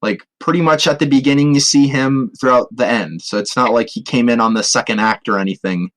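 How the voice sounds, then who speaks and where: A man's voice: mid-range voice, fairly quick, fairly bright tone, poor audio. A man chatting casually and jokingly as a podcast co-host. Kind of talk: casual comedic chat.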